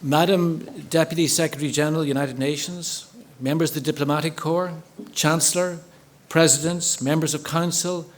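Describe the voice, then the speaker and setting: Man, fairly high-pitched, formal welcome speech, measured ceremonial delivery, college leader. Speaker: college chief executive opening a ceremony. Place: large indoor event venue, before an audience.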